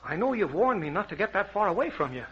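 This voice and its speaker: An actor playing an eager young safari sidekick, earnest and boyish, in vintage radio adventure style. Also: male voice, sits high, sing-song, fast talker, breathy edge, heavily acted. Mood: earnest, apologetic. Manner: earnest, boyish, gee-whiz. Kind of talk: 1940s radio adventure acting. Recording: dull lo-fi vintage radio recording.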